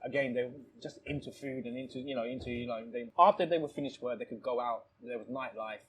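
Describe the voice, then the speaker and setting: A man's voice: medium pitch, fast, a little hiss or hum, low-quality recording. A man telling stories casually in conversation, rambling and repeating phrases, with frequent "you know". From born in Thailand, lives in London. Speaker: restaurant owner, interview guest.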